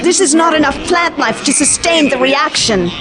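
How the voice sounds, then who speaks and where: A woman's voice: high voice, moderate pace, loud music behind the voice, over a noisy crowd, lightly acted. A woman playing a defiant, doomed woodland spirit in a video game, with a theatrical, dramatic delivery.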